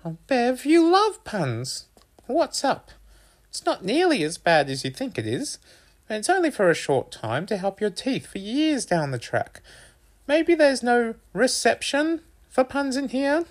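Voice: medium pitch, wildly swooping pitch, a little slow, pitched above the performer's own voice. A narrator voicing a cheerful, teasing mum in a storybook, playful and exclaiming, firm when cross. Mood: cheery, coaxing.

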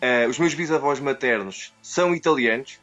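A man's voice: mid-range voice, normal speed, dull audio, loud music behind the voice. Man with a European Portuguese accent. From Brazil. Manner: rambling, self-correcting. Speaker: podcast guest. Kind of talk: casual chat.

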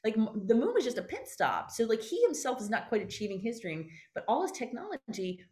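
A woman talking as an interview guest, effusive and emphatic, digressing as she goes in an animated conversational style. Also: a little high, a little fast.

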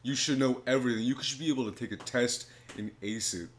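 A man's voice: medium pitch, fast talker. A man co-hosting a casual podcast, trading teasing jokes with blunt swearing. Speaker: podcast co-host.

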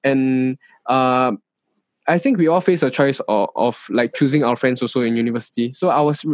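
A young Singaporean man speaking as an interview guest, pausing and restarting his sentences as he works out his thoughts. His voice has an ordinary, mid pitch and is recorded dry, with no room sound around him. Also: fairly even intonation, no echo. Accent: Singaporean English. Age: young adult. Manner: hesitant, many restarts and fillers. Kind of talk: casual interview chat.

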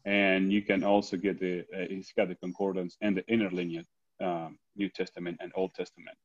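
A low-pitched man with an Argentine Spanish accent, speaking English as a second language. He talks haltingly in a casual class discussion, and the audio sounds muffled and lo-fi, as over a video call. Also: flat delivery. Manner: hesitant, many ums. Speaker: class participant.

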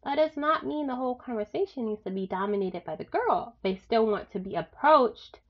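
A young woman with a stuffed-up nose from a cold, giving blunt dating advice in a frank, animated way, with sudden loud rises and some swearing. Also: on the high side, normal speed, highs cut off. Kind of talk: casual advice monologue.

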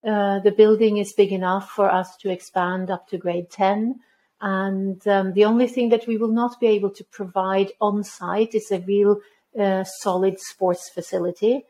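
A woman in her fifties from Norway, a head of school answering interview questions in a measured way, with frequent ums and restarts. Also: mid-range voice, flat delivery, warm tone.

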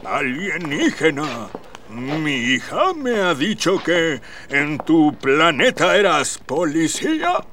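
A man with a Mexican accent playing a whimsical, easily flustered alien emperor in a comic radio drama, halting and repeating himself. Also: on the high side, big pitch swings, sharp tone, a little hiss or hum, heavily acted. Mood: grave, measured.

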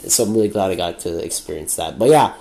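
A man in his twenties from Montreal, hosting a solo podcast in a candid, rambling way, swearing freely and filling pauses, a little sniffly with a cold. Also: sits low, darkish tone. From Montreal, Canada. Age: 20s. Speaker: podcast host. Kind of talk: casual solo podcast monologue.

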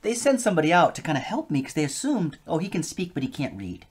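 Lively, animated man. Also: a little high, fast, husky. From United States. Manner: animated, enthusiastic, laughs easily. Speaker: guest, polyglot. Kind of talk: casual interview conversation.

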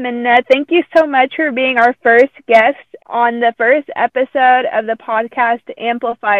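A woman's voice: on the high side, dry recording, poor audio. A woman hosting a podcast, speaking in an informal, conversational way. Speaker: podcast host. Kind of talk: podcast introduction and casual interview.

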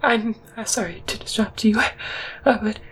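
High, timid, stammering spider person. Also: male voice, unhurried, fairly bright tone, slight background noise. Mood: timid, apologetic. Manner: stammering, hesitant, overly polite.

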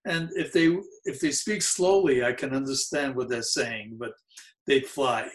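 An older man in his seventies with a New York accent, Yiddish being his first language. He speaks slowly and mellowly at a middle pitch, pausing often with fillers as he looks back on his youth. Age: 70s. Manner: mellow, unhurried, halting with frequent fillers. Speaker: interviewee. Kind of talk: oral history recollection.

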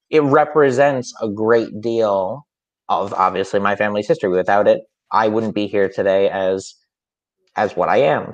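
A man's voice: on the low side, muffled recording. A man who teaches music, chatting casually on a podcast with a slightly nasal voice and a hesitant, rambling way of talking full of fillers and restarts.

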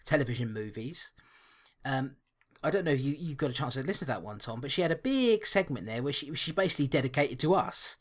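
Animated man on a podcast. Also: medium pitch. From England, London. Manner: laughing, animated, self-deprecating banter. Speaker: podcast co-host. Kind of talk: casual chat, reading listener email.

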